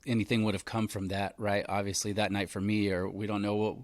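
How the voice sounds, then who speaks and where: A man in his thirties hosting a podcast, telling stories in a relaxed chatty way full of "like" and "man". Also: fairly low pitch, a little fast, no room sound. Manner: relaxed storytelling, lots of fillers like "like" and "man". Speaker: podcast host. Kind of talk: casual conversational interview.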